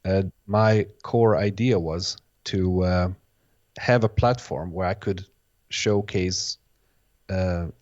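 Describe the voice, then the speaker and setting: Guest: native Bosnian-speaking man, lowish voice, halting and reflective, on a dull lo-fi recording. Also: a little mellow. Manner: hesitant, restarts phrases, many "sort of" hedges. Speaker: guest, electronic music producer. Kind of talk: casual interview answers.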